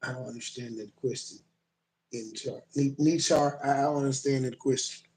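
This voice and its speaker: A man's voice: medium pitch, steady tone, moderate pace, a little mellow, echoey room. A man hosting a livestream, rambling and profane as he chats with viewers and reacts to clips. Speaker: livestream host. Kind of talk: casual live commentary.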